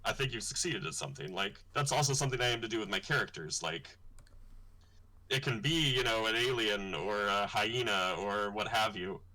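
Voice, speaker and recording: A man's voice: lively intonation, normal speed, bright, forceful tone, rough recording. A young man, a comic artist hosting his own livestream, talking thoughtfully and rambling a little with fillers. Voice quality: deep voice. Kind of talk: casual livestream chat.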